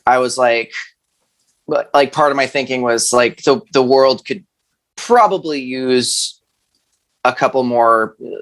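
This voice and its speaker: Halting, casual man with a mid-range voice. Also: medium pitch, a bit bright. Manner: halting, self-deprecating, conversational. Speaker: musician, podcast guest. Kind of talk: casual chat.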